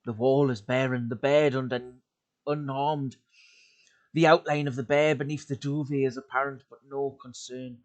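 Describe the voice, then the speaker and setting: A man giving a dramatic reading of a horror story into a home desk mic, swinging from loud urgency to hushed, breathy lows. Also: level tone, normal speed. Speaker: YouTube creepypasta reader.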